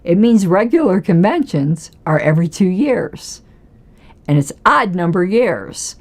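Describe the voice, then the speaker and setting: Hoarse woman livestreaming political commentary. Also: on the low side, very animated, measured pace, a little mellow. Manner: argumentative, rhetorical questions.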